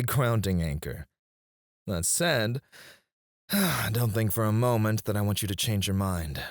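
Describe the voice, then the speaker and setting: A man playing a possessive, outwardly gracious CEO in an intimate scripted ASMR roleplay, speaking in a measured, suave manner. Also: low-pitched, dry recording, studio quality. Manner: measured, suave, soft chuckles and sighs. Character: smooth, possessive male CEO, outwardly gracious, quietly controlling.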